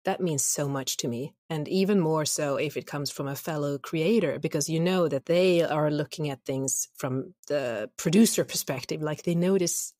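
A woman's voice: deep voice, moderate pace, hardly any pauses, a little mellow, dry recording, studio quality. A woman speaking casually as an interview guest about creative work. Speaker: audio drama creator, guest.

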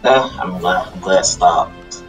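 Low-voiced, laid-back demon, played by a man. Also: a little slow, lo-fi sound. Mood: mild, easygoing. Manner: laid-back, murmuring hums, repeats phrases. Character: calm, easygoing male demon, mild and polite. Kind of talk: improvised game roleplay dialogue. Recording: faint music behind the voice.

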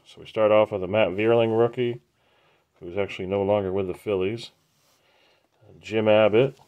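A man hosting a trading card break, casually naming each card as he opens the packs in an unhurried, matter-of-fact way. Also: fairly low pitch, fairly even intonation, clean tone, highs cut off. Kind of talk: casual pack-opening commentary.

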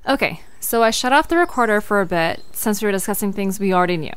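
A woman's voice: mid-range voice, lively intonation, quick pace, clear, resonant tone, natural voice. A woman playing a curious, determined young investigator in an audio drama, earnest and conversational. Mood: firm.

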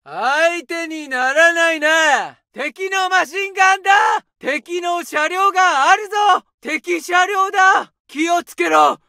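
A man voicing an aggressive young male infantry soldier in a war game, shouting taunts and orders. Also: very high voice, slow pace, creaky, few pauses, no room sound, clean studio sound, exaggerated voice. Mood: alert.

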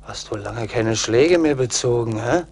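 An actor playing a rowdy, bullying young fellow in a radio play, rough and taunting. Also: male voice, medium pitch, unhurried, a little mellow. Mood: threatening. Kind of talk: 1980s German radio play acting. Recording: vintage LP radio play, slight noise.

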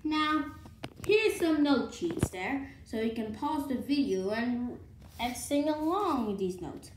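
A woman's voice: on the high side, expressive, slow, echoey room, slight background noise, rough recording. A woman reading a children's picture book aloud, now and then stumbling and restarting, recorded in a room at home. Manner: stumbles over words and restarts. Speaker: read-along presenter. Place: indoor room at home with wooden floor.

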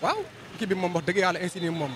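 Senegalese man, fast, confident, loud street noise behind. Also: high voice. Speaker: passerby interviewee. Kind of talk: street interview answers. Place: busy roadside outdoors.

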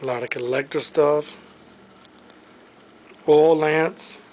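A man's voice: fairly high pitch, fairly even intonation, slow pace, a little mellow, poor audio. A man giving an auction preview walk-through, naming items one by one in short clipped phrases, recorded on a handheld camera in a large showroom. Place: large indoor auction showroom full of furniture. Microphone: handheld camera microphone.

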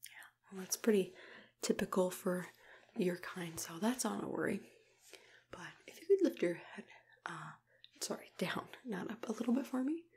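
A young woman in a soft, unhurried whisper, playing a gentle, reassuring dentist in an ASMR roleplay, close to the mic. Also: medium pitch, animated. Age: young adult. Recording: clear background noise and room ambience.

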